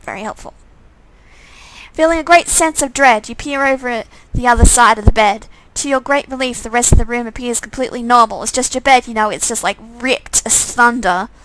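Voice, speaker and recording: A woman's voice: fairly high pitch, fairly quick, a bit bright, a little hiss or hum, rough recording. A woman reading a visual novel game aloud in dramatic narration, breaking off for casual, off-the-cuff comments.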